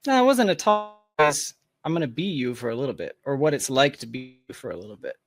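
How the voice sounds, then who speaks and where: A man's voice: mid-range voice, very animated, quick pace, no room sound, faint music behind. A man in a casual, reflective conversation, thinking aloud in an informal, philosophical way. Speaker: podcast guest, tech founder.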